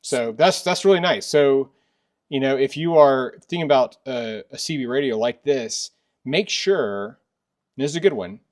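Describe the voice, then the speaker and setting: A man's voice: mid-range voice, lively intonation, moderate pace. Middle-aged man reviewing gear casually. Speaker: host of a product review channel. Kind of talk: casual product review chat. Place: indoors in a workshop.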